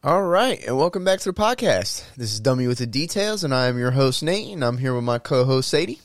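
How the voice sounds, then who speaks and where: A man's voice: mid-range voice, big pitch swings, a little fast, no echo. A man in his twenties hosting a podcast, chatting casually and jokingly, with lots of fillers and restarts. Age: 20s. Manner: rambling, jokey, with many fillers and restarts. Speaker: podcast host.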